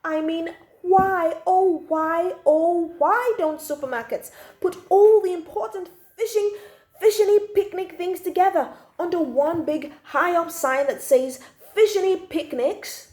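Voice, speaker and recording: A woman voicing an excitable, indignant little girl from a storybook, with emphatic, exaggerated phrasing. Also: extremely high pitch, measured pace, voice pushed up. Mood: indignant, exasperated. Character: excitable, indignant little girl telling her own troubles. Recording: echoey room.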